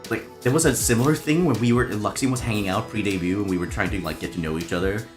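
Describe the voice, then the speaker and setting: A man chatting casually on a livestream, expressive and amused as he shares anecdotes with a friend. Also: fairly low pitch, quick pace. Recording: stream audio with music behind. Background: background music.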